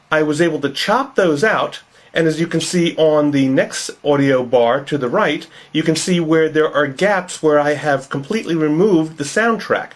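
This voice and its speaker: Nasal male narrator, wry and self-deprecating, for a home-made tutorial voice-over. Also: on the high side. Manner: wry, joking. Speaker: video creator.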